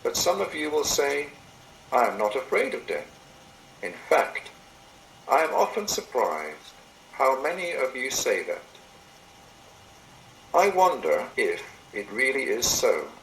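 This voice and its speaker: A man delivers a reflective, sermon-like reading in a mid-pitched voice. He is measured and serious, with lively rises and falls across his phrases. The amateur computer recording sounds roomy. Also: slight background noise. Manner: measured, solemn, deliberate phrasing. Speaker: reader of a meditation written by another. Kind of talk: read-aloud meditation, sermon-like address. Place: indoor room, recorded into a computer.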